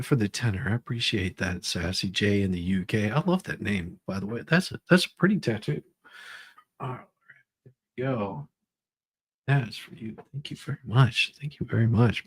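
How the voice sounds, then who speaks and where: A man's voice: medium pitch, breathy edge, no room sound. A man hosting a livestream with casual, rambling chat, often repeating his phrases. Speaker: livestream host.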